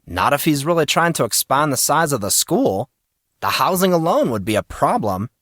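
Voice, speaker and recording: A man's voice: a little high, sing-song, normal speed, fairly bright tone, no echo, studio quality, pitched above the performer's own voice. Man as a needling teenage schoolmate. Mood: argumentative.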